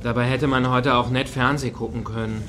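A man's voice: mid-range voice, little pitch movement, brisk, a bit bright, a little hiss or hum, lightly acted. An actor playing an easygoing, somewhat reluctant male friend in a satirical radio play. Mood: wry. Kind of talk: German radio play dialogue.

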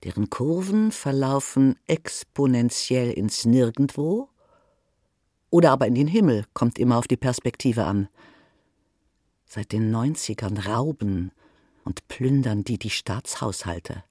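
A husky voice narrates a dramatic literary monologue. The delivery is theatrical and slow, with big swings in pitch, recorded very dry and close. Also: dry recording. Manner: theatrical, expressive. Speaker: narrator and performer.

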